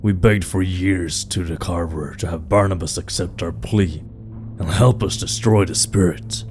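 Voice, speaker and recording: A man's voice: low-pitched, steady tone, a little slow, darkish tone. A man playing a proud, grave king of stone folk in a fantasy audio drama, speaking in a stately, formal way. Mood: solemn. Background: background music.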